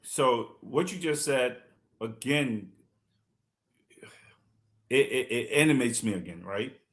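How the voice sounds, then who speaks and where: A man's voice: mid-range voice, lively intonation, takes it slowly, a bit bright. A man hosting a talk show, speaking passionately and building to indignant emphasis. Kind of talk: heated talk show commentary.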